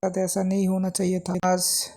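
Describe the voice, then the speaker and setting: A woman's voice: lowish voice, monotone, normal speed, mellow. A woman narrating a story recap and speaking the characters' lines in passing. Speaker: narrator of manga recap videos.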